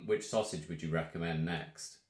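A man in his thirties presenting a casual step-by-step software tutorial from a home office. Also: low voice, moderate pace. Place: small home office, indoors.

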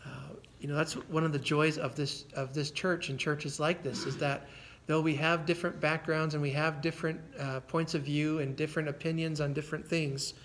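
Earnest man preaching, slightly high voice. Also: level tone, normal speed, slight background noise. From United States. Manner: earnest, conversational preaching with repeated words and soft laughs. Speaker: pastor. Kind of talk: sermon.

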